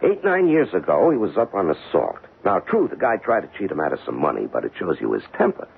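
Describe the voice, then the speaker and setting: An actor playing a weary, skeptical American police detective husband in a radio drama, talking plainly and emphatically. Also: male voice, low voice, wildly swooping pitch, fast, vocal fry, slight background noise, full character voice. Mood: assured, explaining. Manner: colloquial, emphatic, matter-of-fact. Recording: dull lo-fi 1970s radio recording.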